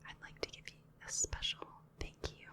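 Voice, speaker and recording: A woman speaking in a soft whisper as an ASMR creator addressing her viewers. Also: normal speed.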